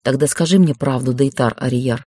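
A man's voice: mid-range voice, normal speed, warm tone, no room sound, studio quality, pitched below the performer's own voice, close to an everyday voice. A man voicing a defiant, fiery young woman in an audiobook, emotional and insistent as she challenges others. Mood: wary, determined.

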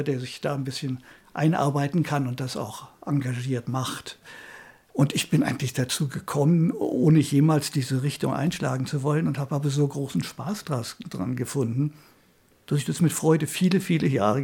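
Elderly man, husky higher-pitched voice. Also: darkish tone. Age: 70s or older. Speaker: interviewee, retired radio drama director. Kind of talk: conversational reminiscing in an interview. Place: at home in an apartment.

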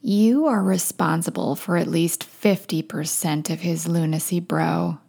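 A woman acts out a young man in a fan fiction audiobook, blunt and easily flustered. His voice is thick with gravelly creak and he takes his time. He talks in bro-ish slang with hesitations. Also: fairly low pitch, measured pace, warm tone, heavily acted. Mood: teasing.

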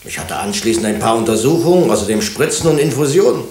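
Suspected physician: self-possessed, clipped and dry, animated melody, echoing drama studio. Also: male voice, mid-range voice, quick pace. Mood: dry, composed. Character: self-possessed, dry male hospital doctor under suspicion. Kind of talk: German radio drama acting.

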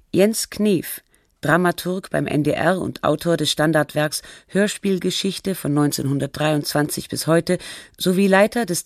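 An actress playing a sober radio feature presenter, reading scripted documentary narration in a measured, formal way. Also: female voice, fairly low pitch, no room sound, studio quality.

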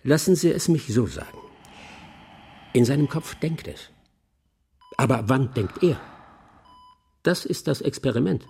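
Mellow, creaky-voiced surgeon. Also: male voice, mid-range voice, expressive, unhurried, pauses often, slight background noise. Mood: speculative. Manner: clinical, explanatory. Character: male surgeon, detached, confident experimenter. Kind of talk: German radio crime drama acting.

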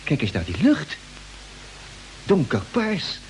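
A man's voice: a little high, huge pitch swings, takes it slowly, darkish tone, full character voice, poor audio. An actor playing a chatty, curious crewman in an old radio space drama, eager and colloquial. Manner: eager, colloquial, full of exclamations. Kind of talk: mid-century Dutch radio drama acting. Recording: hissy old radio recording.

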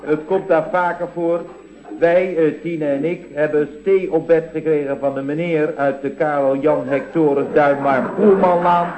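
An actor playing a deferential, matter-of-fact employee in an old radio comedy, explaining things in an understated way. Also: male voice, a little high, steady tone, normal speed, slight background noise, natural voice. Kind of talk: 1960s-70s Dutch radio comedy acting. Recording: dull, roomy old radio recording.

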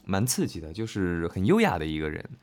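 A male voice actor talking casually and reflectively about his work, earnest and halting, often repeating his phrases. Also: low-pitched, very animated. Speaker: voice actor discussing an audio drama. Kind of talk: casual, reflective cast chat.

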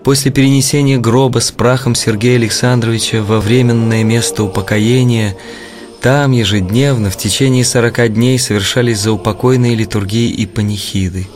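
A man narrating a religious historical audio play from a script in a solemn, measured way. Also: mid-range voice, steady tone, darkish tone. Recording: clear music bed under narration.

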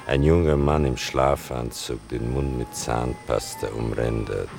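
A man playing a reflective son who narrates in a gravelly, measured, ironic way in a literary radio play. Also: a bass voice, fairly even intonation, music in the background, exaggerated voice. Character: reflective writer son recounting his mother's story.

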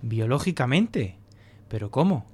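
A man's voice: medium pitch, big pitch swings, measured pace, a little mellow, slightly rough. A man playing a puzzled, earnest young pupil asking questions in a scripted audio drama. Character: inquisitive, puzzled young male pupil questioning his teacher.

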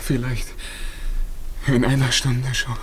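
An actor playing a distraught man whose beloved is condemned, halting and desperate in 1950s radio drama style. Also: medium pitch, little pitch movement, fairly bright tone. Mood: anguished. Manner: halting, brooding, breaking into desperate outbursts. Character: anguished, distraught man, lover of a condemned woman. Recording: noisy old 1950s radio recording.

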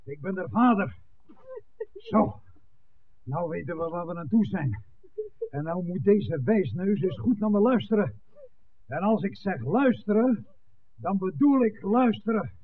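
A domineering middle-aged smuggler boss in an old Dutch radio play. He is commanding and scornful, with a fairly high voice. It sounds thin, like an old broadcast. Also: expressive, unhurried, pauses often, heavily acted. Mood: menacing. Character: domineering, menacing village father, suspicious smuggler boss. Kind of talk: 1960s Dutch radio drama acting. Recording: narrow-band 1969 radio recording.

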